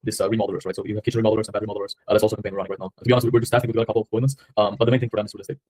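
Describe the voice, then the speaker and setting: Very fast-talking man with a Slovenian accent. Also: fairly low pitch, little pitch movement, darkish tone, slightly rough. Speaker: agency owner on a sales call. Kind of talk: casual sales conversation.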